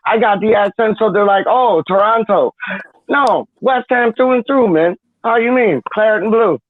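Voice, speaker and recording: A man chatting on a live stream in an animated, slangy way, acting out little dialogues as he tells stories. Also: high voice, moderate pace, no echo, phone-quality sound. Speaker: guest of honour, birthday person. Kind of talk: casual live-stream chat.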